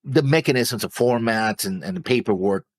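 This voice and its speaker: A man's voice: medium pitch, moderate pace, no room sound. A man hosting a reaction show, chatting casually in a rambling, explanatory way. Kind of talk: casual chat.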